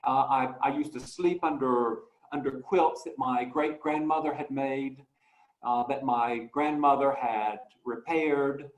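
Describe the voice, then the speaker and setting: A man presenting his artwork in a talk, speaking slowly and reflectively with many fillers and restarts. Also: medium pitch, steady tone, reverberant, low-quality recording. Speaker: guest artist.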